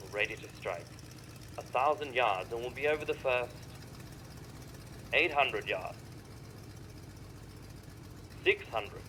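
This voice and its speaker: A man playing a disciplined bomber lieutenant in a radio play, giving clipped, urgent military reports. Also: medium pitch. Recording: radio drama with loud aircraft engine noise. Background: droning engine.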